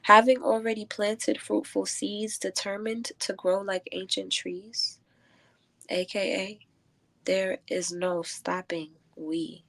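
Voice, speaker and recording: A woman's voice: mid-range voice, level tone, slow pace, vocal fry, fairly bright tone, poor audio. A woman guest who is a spoken word poet, moving between casual talk and rhythmic, declamatory verse. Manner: declamatory, rhyming delivery when reciting.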